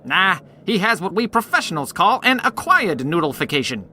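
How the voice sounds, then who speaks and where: An actor playing a flippant, callous state warden, glib and slangy, in a comedic radio play. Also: male voice, high-pitched, big pitch swings, moderate pace, pushed and bright, a little hiss or hum, heavily acted. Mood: glib, mocking. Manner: glib, slangy, mangles big words.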